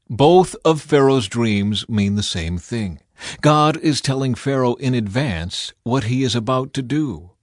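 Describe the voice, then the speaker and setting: A man narrates scripture in the manner of an audiobook. His mid-pitched voice moves through the text in measured, clearly phrased lines with expression. The recording is dry, with hardly any room sound. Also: no echo.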